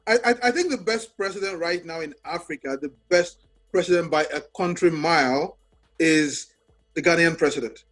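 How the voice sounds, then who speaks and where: Male co-host, high voice, laughs a lot, stammers, faint music behind. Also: normal speed, fairly bright tone. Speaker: podcast co-host. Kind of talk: casual chat.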